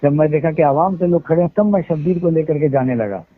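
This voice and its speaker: Husky guy on a tinny phone line, slightly high voice, going on and on defending himself. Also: fast, dry recording. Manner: defensive, explaining at length with religious quotes. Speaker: event organizer on a phone call. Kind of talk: heated phone conversation. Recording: tinny phone call recording.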